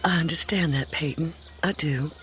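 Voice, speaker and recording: An actress playing a devoted, anxious gentleman's wife in a radio drama, genteel and tender. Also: sits low, normal speed, a little hiss or hum. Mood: worried.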